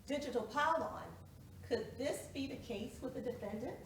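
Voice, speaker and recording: A woman's voice: sits high, normal speed. A woman playing a formal lawyer in a courtroom stage drama, putting probing questions to a witness. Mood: pointed, probing. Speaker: actor in a one-act play. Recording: echoey room, distant mic, noisy.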